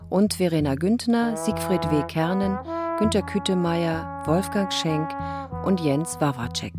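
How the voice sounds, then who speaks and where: Woman reading radio play credits, formal, deepish voice, brass music really loud. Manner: formal, clear list reading. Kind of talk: scripted radio announcement. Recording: loud trombone music over speech.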